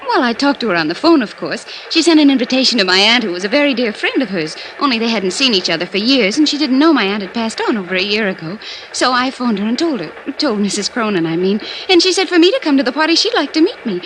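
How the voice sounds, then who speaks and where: A naive, bubbly young woman from an old radio drama. Her voice is high and she gushes along very quickly, with faint noise from the vintage recording. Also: very animated, fairly bright tone, rough recording. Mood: chatty, excited. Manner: gushing, breathless chatter. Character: bubbly, gushing, naive young female party guest. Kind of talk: 1950s radio drama acting.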